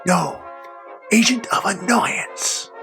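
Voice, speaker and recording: A woman playing a dry-witted, authoritative leader of a ragtag rescue squad in an audio drama, brisk and wry. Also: medium pitch, gravelly, bright, forceful tone, faint music behind, full character voice. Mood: brisk, commanding.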